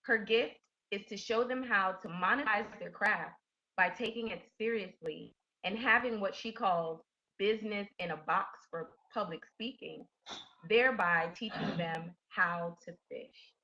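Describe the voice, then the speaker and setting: Female webinar host, forceful bright tone, formal scripted read, muffled lo-fi audio. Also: medium pitch, measured pace. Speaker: webinar host introducing a coach. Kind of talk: scripted introduction, read announcement. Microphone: online webinar audio. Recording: dull lo-fi web conference audio.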